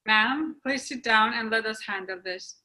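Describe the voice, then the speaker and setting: A woman's voice: on the high side, measured pace, sharp tone. A woman voicing a police officer giving firm orders in a script reading. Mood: stern. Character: stern police officer on ferry. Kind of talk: readers theatre script reading.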